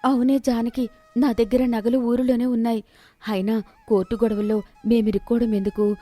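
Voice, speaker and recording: A woman's voice: a little high, flat delivery, moderate pace, dark tone, lightly acted. A woman voicing a selfish, evasive older female relative, brusque and full of excuses, in a moral story. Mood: dismissive. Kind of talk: dramatized moral story acting.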